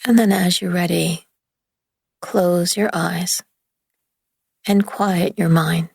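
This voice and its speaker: Husky, low-voiced woman guiding relaxation. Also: fairly even intonation, dry recording, clean studio sound. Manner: soothing, gentle, hushed delivery with long pauses. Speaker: yoga nidra meditation guide. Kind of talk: guided relaxation meditation.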